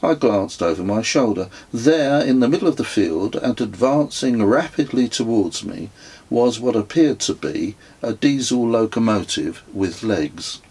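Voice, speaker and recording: Husky, slow, low-voiced male narrator. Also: lively intonation, a little mellow, low-quality recording. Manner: descriptive, measured storytelling. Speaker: author reading own memoir. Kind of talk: scripted audiobook narration.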